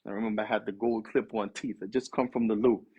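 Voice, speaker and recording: Husky-voiced man preaching casually. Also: lowish voice, steady tone, quick pace, darkish tone, muffled recording. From US East Coast. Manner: conversational, street-inflected preaching with Arabic phrases. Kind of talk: sermon.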